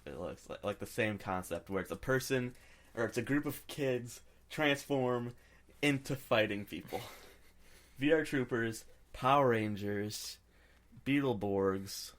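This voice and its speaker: A man's voice: mid-range voice, a little slow. A man co-hosting a podcast, chatting casually about pop culture and giving his opinions freely. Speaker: podcast co-host.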